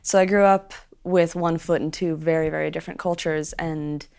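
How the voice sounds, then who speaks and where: A young woman with an American accent talks animatedly as an interview guest, stopping often on fillers and restarts. Her voice sits low and has a gravelly edge of vocal fry. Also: steady tone, normal speed, a little mellow. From Sweden. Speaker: guest musician. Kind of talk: casual interview chat.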